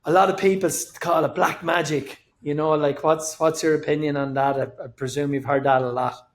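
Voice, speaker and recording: A man's voice: fairly high pitch, steady tone, moderate pace. A man hosting a podcast, asking his guest questions in a friendly, conversational way. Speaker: podcast host. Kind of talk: podcast interview.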